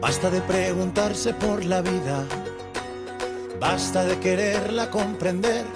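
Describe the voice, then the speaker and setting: Female poetic recitation: lowish, unhurried, set under prominent loud music.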